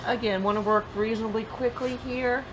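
A woman's voice: fairly high pitch, steady tone, brisk, background noise. Woman explaining a recipe in her kitchen. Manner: plain step-by-step instructions with fillers. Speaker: cooking channel host. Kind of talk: home cooking demonstration. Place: home kitchen, indoors.

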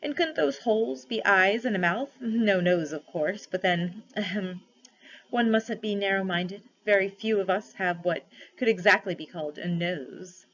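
A woman voicing a kindly, thoughtful female elephant in a storybook, speaking slowly and genteelly. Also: medium pitch, fairly bright tone, highs cut off.

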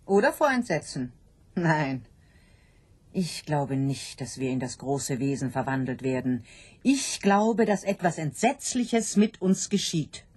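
A doubting, fearful sow in a radio drama. She broods slowly over her fate, and her dramatic speech swings widely in pitch. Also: very animated, darkish tone, slightly rough, exaggerated voice. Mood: anxious, doubting. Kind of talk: literary radio drama acting.

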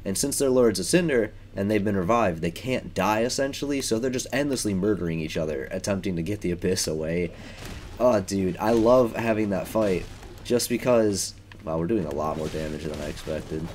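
A man's voice: deep voice, moderate pace, darkish tone, slight background noise. A young man giving casual, rambling commentary while playing a video game, with lots of ums and likes. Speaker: let's play gamer.

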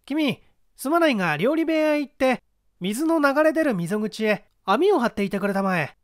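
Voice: high voice, very animated, moderate pace, no room sound, clean studio sound, pitched above the performer's own voice. A reader playing a confident young male detective, wry and commanding, in an audiobook mystery. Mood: cool, wry.

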